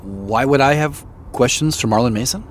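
A man's voice: mid-range voice, normal speed, natural voice. An actor playing a puzzled, guarded ordinary American man in an audio drama, talking casually and with hesitation. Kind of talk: naturalistic audio drama dialogue. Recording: faint noise behind the voice.

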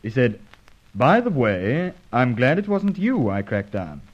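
A man's voice: mid-range voice, big pitch swings, unhurried. An actor playing an earnest undercover police officer in an old radio serial, hesitant and puzzled as he talks. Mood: bemused. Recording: dull, lo-fi old radio recording.

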